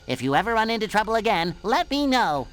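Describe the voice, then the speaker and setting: A man voicing an eager, naive boyish sea sponge in a cartoon game, squeaky, nasal and perky. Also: sing-song, fast, a bit bright, clear, resonant tone. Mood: eager gratitude. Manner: perky, earnest, over-eager. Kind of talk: cartoon game character acting.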